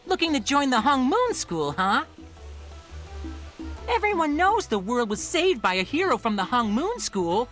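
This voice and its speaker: Cocky child braggart, high-pitched, animated, haughty, taunting, video game dialogue over music. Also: female voice, measured pace, full character voice. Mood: smug, boastful. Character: cocky bratty kid boasting as self-declared top apprentice. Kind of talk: video game character acting.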